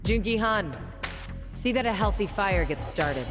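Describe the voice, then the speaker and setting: An actress playing a proud, resolute female crime boss in a video game dub, speaking in a formal, measured and commanding way. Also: mid-range voice, expressive, music in the background, natural voice. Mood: grave, decisive. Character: proud, resolute female underworld boss giving grave orders.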